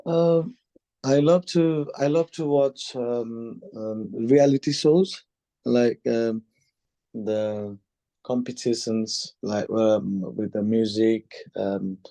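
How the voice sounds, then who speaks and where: A man from Nepal answering speaking test questions, hesitant with many pauses and restarts. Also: medium pitch, steady tone, warm tone, no room sound. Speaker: test candidate. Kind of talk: mock speaking exam answers.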